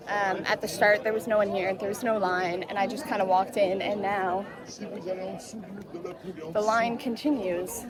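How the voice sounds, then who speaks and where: A young woman from Labrador, Canada, chatting casually in a travel vlog, rambling and self-deprecating, hot and tired. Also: medium pitch. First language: English. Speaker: travel vlogger.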